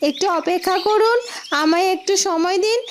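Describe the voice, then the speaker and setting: A woman's voice: very high voice, moderate pace, a little hiss or hum. A woman voicing an eager young village girl who runs a food stall in a fairy-tale cartoon. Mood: eager, chirpy.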